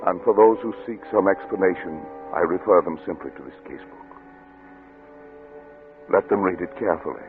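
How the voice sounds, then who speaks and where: Deep, doomed scientist, vintage radio. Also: male voice. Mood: grave, resigned. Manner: solemn, measured, literary. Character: doomed, grave male scientist narrating his final casebook. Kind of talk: old-time radio dramatic narration. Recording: narrow vintage radio recording with music.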